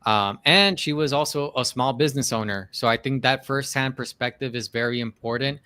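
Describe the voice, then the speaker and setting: A man's voice: mid-range voice, a little fast, bright, forceful tone, smooth. A man hosting a business podcast, reading a formal, polite introduction and then interviewing his guest. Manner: formal, polite, reads from notes. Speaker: podcast host.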